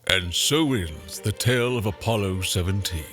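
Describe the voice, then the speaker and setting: An actor as a grave, mysterious storyteller, giving dramatic scripted narration for an audio drama. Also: male voice, deep voice, animated, normal speed, a bit bright, faint music behind. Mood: ominous, reflective.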